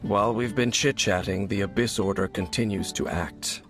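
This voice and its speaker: A man playing an aloof, world-weary wanderer in a video game, speaking in a cool, measured, terse way. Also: fairly low pitch, fairly bright tone, faint music behind. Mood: cool, dismissive. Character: aloof, brooding, world-weary male wanderer who shuns the gods. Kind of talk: video game character acting.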